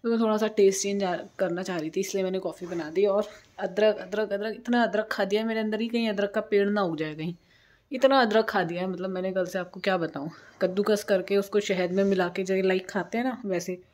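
A woman's voice: medium pitch, steady tone, fairly quick, a little mellow. A woman in her thirties vlogging casually from her home while unwell, with a sore throat and a tired, chatty way of talking to viewers, recorded on a handheld phone. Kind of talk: casual vlog chat to camera. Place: small home kitchen and rooms, indoors. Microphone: phone held at arm's length.

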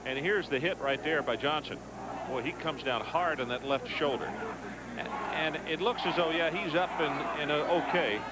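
A man calling a televised college football game play by play, in a steady, informative broadcaster delivery. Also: a little high, moderate pace, very noisy. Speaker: play-by-play announcer.

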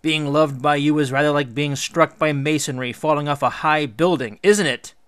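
Man playing a mocking hero king, raised pitch. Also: steady tone, moderate pace, fairly bright tone, clear, resonant tone, no echo, clean studio sound, close to an everyday voice. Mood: sardonic. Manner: sardonic, taunting rhetorical questions. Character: mocking, bold young hero king scorning a goddess.

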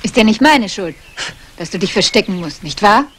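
A woman's voice: mid-range voice, animated, normal speed, fairly bright tone, close to an everyday voice. An actress playing a sardonic, scheming woman in a 1960s German radio crime play, teasing and mocking. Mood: nagging. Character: mocking, scheming female companion of a fugitive man. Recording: noisy old radio play recording.

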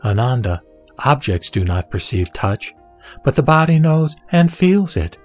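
A man reading a Buddhist sutra as a scripted narration, in a measured, formal, didactic manner. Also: mid-range voice, sing-song. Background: background music.

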